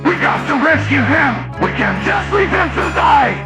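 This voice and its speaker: A man's voice: very high voice, big pitch swings, a little slow, over music, exaggerated voice. A man playing an earnest, loyal cartoon mercenary worried about his teammate. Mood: urgent, determined. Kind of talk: scripted cartoon fan drama dialogue.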